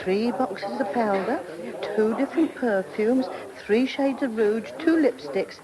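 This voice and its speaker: A woman's voice: a little high, unhurried, lightly acted, rough recording. An actress playing a chatty, devoted older lady's companion in a radio play, gushing and familiar. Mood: gossipy. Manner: gushing, familiar, calls people dear. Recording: radio drama with crowd murmur.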